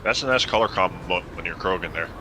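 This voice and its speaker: A man's voice: sits low, fast talker, background noise. A man chatting casually as a fellow player on a gaming stream. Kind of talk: casual chat while gaming.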